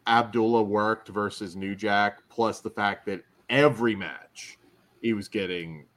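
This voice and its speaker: A man's voice: lowish voice, fairly even intonation, a little slow, a bit bright. A man co-hosting a podcast, telling anecdotes in casual wrestling review chat and often chuckling as he talks. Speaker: podcast host.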